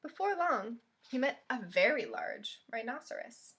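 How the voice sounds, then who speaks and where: A woman leads a children's storytime in a lightly high voice. She reads very slowly and expressively, with questions put to the young listeners along the way. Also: big pitch swings, a bit bright.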